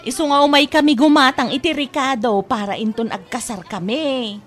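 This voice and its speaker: A woman's voice: high-pitched, moderate pace, lightly acted. A woman playing a coy, playful unmarried market vendor in an Ilocano radio comedy drama, with an Ilocano accent. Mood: giddy. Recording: music behind the voice.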